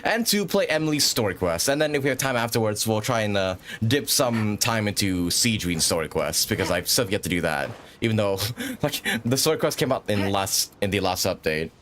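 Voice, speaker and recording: A man's voice: lowish voice, expressive, brisk, fairly bright tone. A man hosting a livestream with casual, rambling gaming commentary and frequent chatty asides. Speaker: livestream host.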